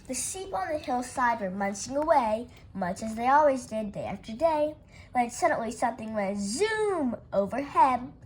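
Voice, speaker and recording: A woman reading a rhyming children's picture book aloud with playful, expressive delivery. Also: a little high, slightly rough. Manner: unhurried, expressive read-aloud with playful character voices. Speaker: storytime reader.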